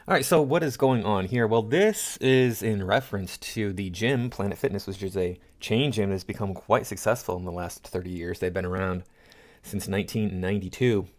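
A middle-aged American man giving casual, indignant opinion commentary as a channel host, often halting and repeating himself. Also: on the low side.

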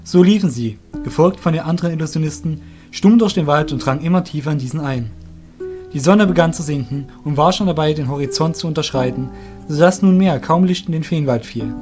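Male storyteller over soft guitar music. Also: a little high, brisk. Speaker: narrator. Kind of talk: scripted story narration.

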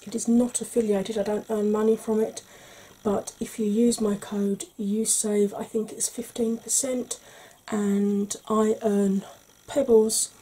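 A woman in her forties, a makeup vlogger, talking through an ASMR makeup tutorial slowly and gently in a soft, breathy voice that often drops to a whisper, recorded at home. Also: mid-range voice, low-quality recording. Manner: slow, gentle, patient explaining. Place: small home room.

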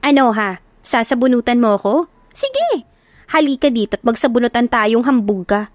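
A woman voicing a feisty, hot-tempered young woman who scolds and shouts in a sharp, quick voice. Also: a little high, very animated, no echo. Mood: angry, mocking.

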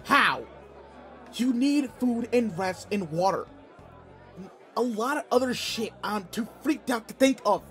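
A man's voice: extremely high pitch, animated, measured pace, fairly bright tone, faint music behind, a faint crowd, heavily acted. A man playing a brusque, anxious young student bully who secretly cares, in an audio roleplay drama with emotional delivery. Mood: flustered, frantic.

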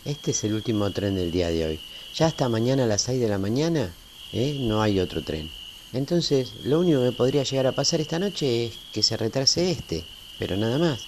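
A man's voice: medium pitch, lively intonation, normal speed, darkish tone, natural voice. A middle-aged man with a Rioplatense Argentine accent playing a talkative, tender-hearted small-town family man in a radio play. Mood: reassuring, homely. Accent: Rioplatense Argentine Spanish. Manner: talkative, folksy, seeking agreement. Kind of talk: amateur radio play acting. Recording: faint hiss with night crickets. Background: crickets, night ambience.

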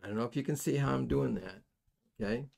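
Middle-aged man, gravelly fry, folksy, halting instructor, thinking aloud at the workbench. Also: medium pitch, a little mellow. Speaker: electronics soldering instructor. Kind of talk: hands-on tutorial, explaining casually while working. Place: indoors at a workbench.

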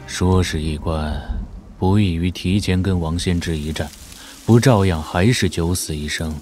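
A man acting a grave, skeptical middle-aged scholar-swordsman in a wuxia audio drama, speaking in a measured, probing way. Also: low voice, darkish tone, a little hiss or hum. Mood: questioning.

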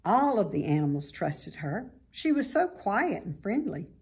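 An American woman in her fifties reading a children's book aloud in a measured, expressive way, in a small room at home. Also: medium pitch, vocal fry, husky.

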